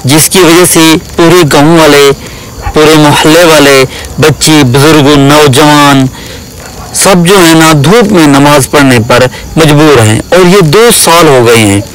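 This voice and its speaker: A man's voice: on the high side, measured pace, a little hiss or hum, low-quality recording. A man making a spoken charity appeal in a plain, pleading manner. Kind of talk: spoken appeal describing a village mosque.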